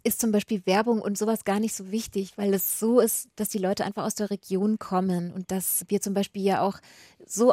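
A woman from Franconia in Bavaria, speaking clean standard German as an interview guest. Her voice sits at a middle pitch and sounds close and dry. She talks in a thoughtful, measured way with a light touch of humor. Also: dark tone, no room sound. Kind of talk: reflective conversational storytelling.

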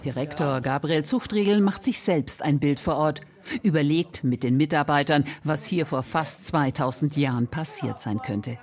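A woman's voice: low voice, moderate pace, darkish tone. Female reporter voice for scripted radio features, narrating reportage in a medium register.

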